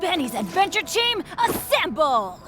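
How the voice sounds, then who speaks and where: A woman's voice: very high voice, very animated, measured pace, over loud music, full character voice. A woman voicing a plucky, eager young adventurer in an anime-style video game, with an exclamatory delivery.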